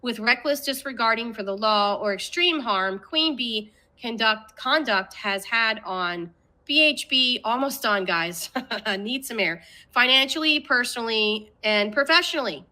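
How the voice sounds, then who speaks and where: A woman's voice: fairly high pitch, lively intonation, a little slow, pushed and bright. A woman reading a document aloud in an emphatic, theatrical way with jokey casual asides.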